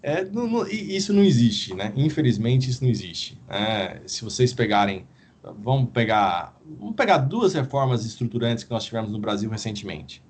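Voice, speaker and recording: A man, a guest professor, explaining at a measured pace in a podcast discussion and often ending his points with a questioning tag. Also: mid-range voice, expressive, slightly rough. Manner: measured, explanatory, frequent "né?" tags.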